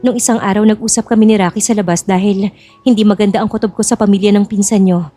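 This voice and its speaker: A woman playing a young bride-to-be in an audio drama, polite and composed, with a quiet cunning. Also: medium pitch, steady tone, quick pace, dry recording, soft background music, natural voice. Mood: earnest, grave.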